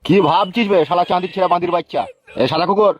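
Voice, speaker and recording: A man's voice: high-pitched, fairly even intonation, rapid-fire, lightly acted. A man playing a bullying, foul-mouthed gangster boss in a comedy cartoon, swaggering and menacing, in dull lo-fi sound. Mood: angry, threatening.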